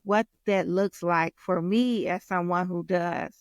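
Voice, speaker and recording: An American woman co-hosting a podcast in casual, slangy girl talk with a playful, expressive manner. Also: fairly low pitch, slow pace, dry recording, studio quality. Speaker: podcast co-host and content creator.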